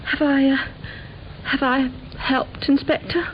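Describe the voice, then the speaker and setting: An actress playing a subdued, hesitant widow in an old radio crime drama, answering in halting phrases. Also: female voice, high voice, flat delivery. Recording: dull, noisy old radio recording.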